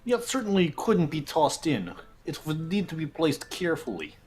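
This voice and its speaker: A man voicing a big, gentle, simple-minded young man who speaks in broken grammar for an anime game fan dub. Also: on the high side, wildly swooping pitch, moderate pace, breathy edge, faint music behind, exaggerated voice. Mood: earnest. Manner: broken grammar, dropped words.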